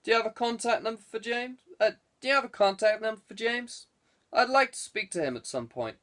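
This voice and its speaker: A man's voice: sits high, animated, brisk, pushed and bright, pitched above the performer's own voice. Man reading as a politely probing male detective. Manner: polite, casually inquisitive questioning. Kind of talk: audiobook character dialogue.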